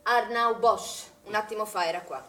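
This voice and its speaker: An actress playing a stern, probing swimming pool manager in a naturalistic stage drama, insistent and scolding in manner. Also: female voice, mid-range voice, normal speed, fairly bright tone, natural voice. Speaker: actor. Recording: echoey, distant stage recording with noise.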